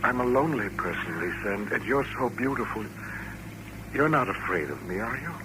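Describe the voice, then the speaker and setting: An American actor playing a lonely, quietly controlling mutant suitor in a 1950s radio drama, hesitant yet insistent and coaxing. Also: male voice, mid-range voice, expressive, a little fast, close to an everyday voice. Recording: noisy vintage radio broadcast recording.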